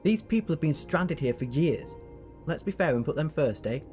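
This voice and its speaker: A man's voice: fairly high pitch, animated, moderate pace, darkish tone, faint music behind, close to an everyday voice. A man playing a boastful, commanding alien time-traveller hero in an audio drama. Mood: brisk. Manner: self-assured, boastful.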